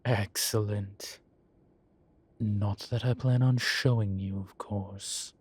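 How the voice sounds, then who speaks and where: Slow, low-voiced urbane demon professor, played by a man. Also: fairly even intonation, darkish tone, breathy edge. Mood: dry, lecturing. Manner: measured, formal, deliberate pauses, genteel. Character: urbane male demon professor, erudite, wryly amused, unhurried. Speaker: professor lecturing a class. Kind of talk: scripted audio roleplay, lecture and conversation.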